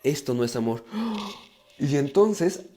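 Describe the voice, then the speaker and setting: A man with a Mexican accent speaks in a soft-edged, mellow timbre, pitched a little high for a male voice. He talks informally to a live audience online, explaining ideas about relationships with emphasis and slipping into imagined inner dialogue. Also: big pitch swings, takes it slowly, slight background noise. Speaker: livestream speaker on relationships and psychology. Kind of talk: informal live self-help talk to viewers.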